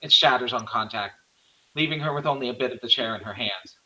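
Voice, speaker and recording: A man's voice: medium pitch, fairly quick, sharp tone, poor audio. A man reading the stage directions of a horror screenplay as scripted narration.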